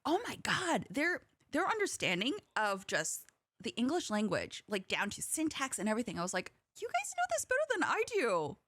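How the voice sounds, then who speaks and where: A woman's voice: a little high, wildly swooping pitch, a bit bright, no echo. A woman who grew up speaking Korean, hosting a podcast in a chatty, giggly way. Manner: giggly, chatty, quick to laugh. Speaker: podcast host. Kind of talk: casual podcast chat.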